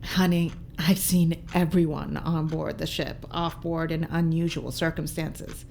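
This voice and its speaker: A woman's voice: low voice, little pitch movement, unhurried, creaky, a little mellow, slight background noise. A woman playing a breezy, teasing and evasive crew member aboard a spaceship in an audio drama. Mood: cagey, sassy.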